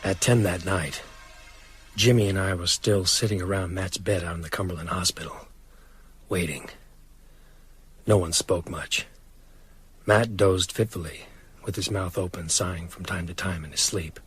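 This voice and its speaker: An actor playing a sombre survivor recounting a horror story in measured, grave first-person narration. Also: male voice, deep voice, steady tone, fairly bright tone, slight background noise. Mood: sombre, haunted.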